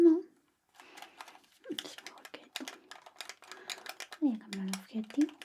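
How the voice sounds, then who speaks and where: A young woman playing a coy, jealous best friend in a soft, whispery ASMR personal attention roleplay. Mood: coy teasing. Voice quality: soft, whispery, high-pitched. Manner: slow, coy, murmuring. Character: jealous female best friend secretly in love, teasing, caring.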